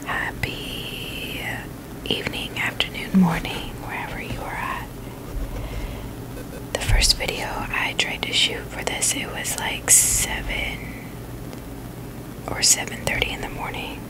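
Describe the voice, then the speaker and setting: A woman hosts an ASMR review in a steady whisper. She speaks very slowly and informally, with a halting, hesitant delivery. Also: low-quality recording. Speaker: video host reviewing sneakers.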